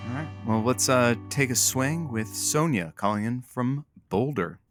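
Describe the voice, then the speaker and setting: A man's voice: mid-range voice, lively intonation, measured pace, faint music behind, natural voice. A man playing an easygoing radio producer who talks in a casual, placating, slightly hesitant way. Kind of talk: comic audio drama dialogue.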